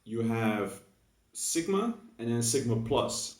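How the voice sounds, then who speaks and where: A man's voice: medium pitch, sing-song, slow, darkish tone. A young man with a Dutch accent, just woken up, talking through a casual, hesitant monologue for his gaming video full of fillers and restarts. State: just woke up. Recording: echoey room, mic at a distance.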